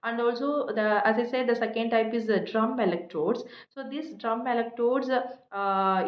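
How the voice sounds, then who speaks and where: Halting Indian-accented woman lecturer, echoey room. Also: a little high. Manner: halting, hesitant, repeats and rephrases phrases. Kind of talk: explanatory technical lecture. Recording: echoey room, dull muffled sound.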